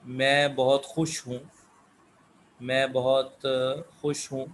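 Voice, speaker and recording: Slow, deliberate male teacher. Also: medium pitch, a bit bright, a little hiss or hum, poor audio. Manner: reads English examples slowly and deliberately, then explains in Urdu-flavored Hindustani. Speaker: English teacher. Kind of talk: slow explanatory lesson, reading English phrases and translating.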